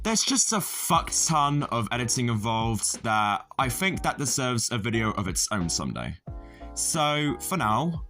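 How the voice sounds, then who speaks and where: A man with a fairly low voice narrates a tutorial as a casual video creator. His humour is deadpan and self-deprecating, with blunt swearing dropped in. Music plays under the voice. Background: background music.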